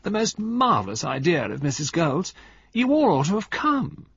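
A man's voice: a little high, very animated, moderate pace, vocal fry, a bit bright, husky, no room sound, pitched above the performer's own voice, full character voice. A man voicing a glamorous, dramatic young society wife who gushes and later gasps for breath. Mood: gushing. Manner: gushing, breathless. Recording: dull lo-fi recording.

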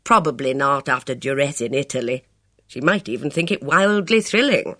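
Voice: medium pitch, no echo, clean studio sound, natural voice. A reader voicing a bold, reckless middle-aged woman who speaks sharply and commandingly. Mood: imperious. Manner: brisk, imperious, sharp.

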